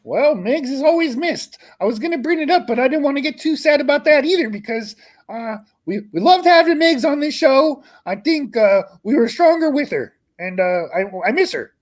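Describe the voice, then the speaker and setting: Performer as frog puppet co-host, very high voice, sentimental, rambling, hard-living braggart. Improvised comedy stream. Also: lively intonation, normal speed. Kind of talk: improvised in-character livestream chat.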